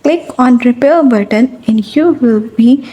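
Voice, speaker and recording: Higher-pitched woman narrating a how-to tutorial. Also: measured pace, dark tone, lo-fi sound. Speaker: tutorial host. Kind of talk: scripted step-by-step how-to narration.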